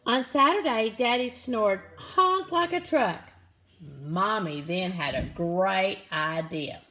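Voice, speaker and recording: A woman reading a children's picture book aloud in an expressive, dramatic manner. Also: medium pitch. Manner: animated, expressive, with dramatic gasps and exclamations. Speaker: storybook reader.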